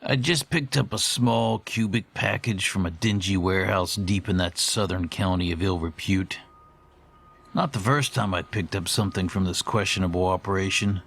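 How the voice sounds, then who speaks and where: A man playing a formal, wordy middle-aged storyteller, giving a measured, ornate monologue in an audio horror drama. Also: sits low, steady tone, gravelly, fairly bright tone, slightly rough, full character voice. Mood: confiding, grave. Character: formal, verbose middle-aged man recalling haunting courier days.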